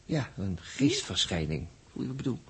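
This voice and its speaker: Low-voiced, easygoing spaceship mechanic. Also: male voice, huge pitch swings, fairly bright tone, slightly rough, exaggerated voice. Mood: intense. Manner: colloquial, halting, musing aloud with repeated little interjections. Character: easygoing, wry male spaceship mechanic, philosophizing and self-mocking. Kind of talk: 1960s radio drama acting. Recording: noisy old 1960s radio drama recording.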